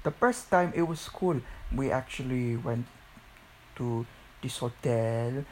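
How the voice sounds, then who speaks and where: A teenager with a Filipino accent talks at a very relaxed, slow pace in a medium pitch. The delivery is a casual ramble that circles back on itself, dotted with exclamations. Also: a little hiss or hum, poor audio. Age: teens, about 16. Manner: repetitive, exclaiming, Filipino interjections. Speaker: video creator.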